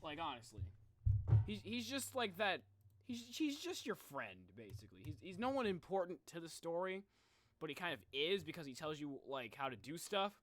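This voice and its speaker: A young man talks to his livestream viewers in a casual, informal manner. His voice sits on the high side for a man and swings widely in pitch as he chats. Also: big pitch swings, moderate pace, fairly bright tone, no room sound. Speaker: live streamer.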